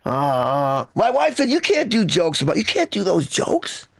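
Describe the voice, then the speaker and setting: A man's voice: high voice, wildly swooping pitch, measured pace, creaky, no room sound, studio quality. A man from Michigan performing stand-up comedy in a conversational, profane way, often repeating and restarting phrases. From Michigan, United States.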